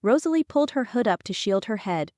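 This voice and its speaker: A woman's voice: fairly high pitch, expressive, brisk, a little mellow, no room sound. A woman narrating a fantasy audiobook from a script, acting out some dialogue within it.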